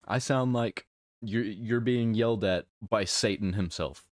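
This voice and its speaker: Low-voiced man giving blunt, opinionated commentary. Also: no echo, studio quality. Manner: blunt, emphatic, short halting phrases. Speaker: reaction video host. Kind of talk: casual opinionated reaction commentary.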